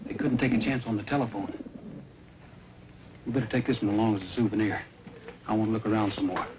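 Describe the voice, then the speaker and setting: Actor as quick-talking, decisive cowboy hero. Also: male voice, fairly low pitch, natural voice. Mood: deducing. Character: confident cowboy hero, plain-spoken, takes charge. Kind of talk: old-time western acting. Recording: dull old recording with heavy noise.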